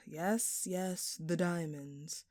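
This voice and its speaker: Woman acting as a slow, smug, flirtatious thief. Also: lowish voice, steady tone, a little mellow, no room sound. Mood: feigned innocence. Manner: teasing, theatrical, playfully sarcastic. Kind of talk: intimate scripted audio roleplay.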